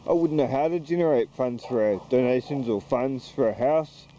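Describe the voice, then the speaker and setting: A man's voice: medium pitch, warm tone, highs cut off, slight background noise. A man with a New Zealand accent rambling hesitantly while walking around town outdoors, vlogging to the camera. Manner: hesitant, halting, slow and wandering. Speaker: YouTuber. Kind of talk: rambling walk-and-talk vlog.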